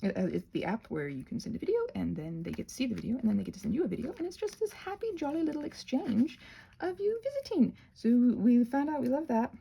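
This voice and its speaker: Animated, creaky-voiced woman rambling casually. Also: on the high side, quick pace. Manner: whimsical, tangent-prone, talking to herself and to her materials in a playful way. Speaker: host of a craft and ASMR channel. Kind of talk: casual rambling craft narration.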